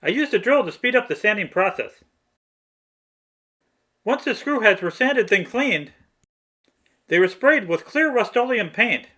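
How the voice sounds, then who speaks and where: A man's voice: high voice, expressive, unhurried, pauses often, pushed and bright. A man reading a scripted, step-by-step technical tutorial narration.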